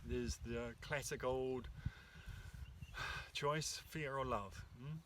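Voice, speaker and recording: A man co-hosting a workshop, talking in a calm, reflective and hesitant way, recorded outdoors in the countryside. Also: mid-range voice, extremely slow, far from the mic, noisy background. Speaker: workshop co-host. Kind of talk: casual spiritual talk. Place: outdoors in the countryside under an oak tree.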